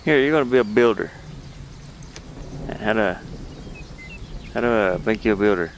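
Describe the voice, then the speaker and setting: Puzzled man gamer with a gravelly creak. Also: on the low side, normal speed, a little hiss or hum. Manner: puzzled, muttering to himself, thinking aloud. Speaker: gamer playing and commenting on a game. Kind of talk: casual gameplay commentary.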